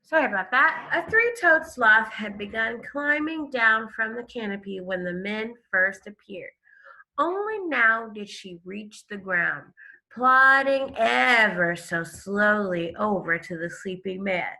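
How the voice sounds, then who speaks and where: A woman teacher reading a story aloud to children, expressive and stopping to explain it. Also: medium pitch, takes it slowly, rough recording. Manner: expressive, explains to listeners with questions and gasps. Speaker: elementary school teacher.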